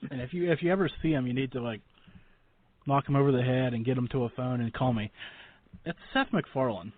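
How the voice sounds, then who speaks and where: Fast-talking man hosting, phone-like sound. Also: medium pitch, dry recording. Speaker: talk show host, interviewer. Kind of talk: casual interview chat. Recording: dry, narrow phone-like sound.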